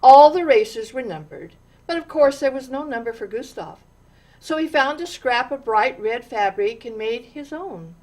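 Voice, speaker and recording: A woman reading a children's story aloud in an expressive, deliberate way, with dramatic pauses and stressed words. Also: a little high. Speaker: storytime reader.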